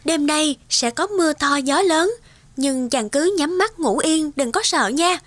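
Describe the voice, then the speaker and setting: A woman playing a gentle, devoted young fairy wife in a cartoon folktale, speaking earnestly. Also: high voice, expressive, measured pace. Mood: calm, instructive. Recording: music behind the voice.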